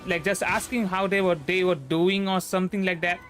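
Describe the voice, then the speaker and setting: A young man with a high, bright voice and an Indian Bengali accent chats casually over a video game. He rambles, hesitates and repeats himself as he streams, with faint music behind him. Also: fairly even intonation, fairly quick. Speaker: livestreamer, VTuber. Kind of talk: casual gameplay commentary.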